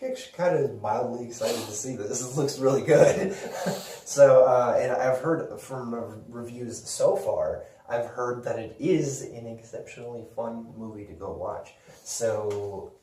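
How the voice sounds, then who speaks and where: A man's voice: mid-range voice, a little slow, warm tone. A man co-hosting a podcast in casual, unscripted chat, speaking informally and emphatically, with phrases often restarted. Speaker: podcast co-host. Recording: echoey room, distant mic, noisy with clicks.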